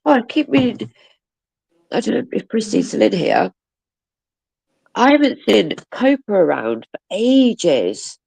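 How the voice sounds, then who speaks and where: A woman chats and gossips on her livestream, swearing freely and laughing often. She sounds bunged up with a cold, and her gravelly voice swoops up and down. The sound is dry and close. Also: medium pitch, big pitch swings, a little slow, pauses often, a little mellow, dry recording, low-quality recording. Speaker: livestream host. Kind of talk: casual chat with viewers.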